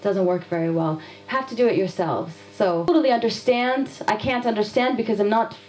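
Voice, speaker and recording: A woman's voice: medium pitch, brisk, darkish tone, soft background music. A woman from Afghanistan, giving an interview answer in a direct, challenging way with rhetorical questions. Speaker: guest from a nonviolence institute.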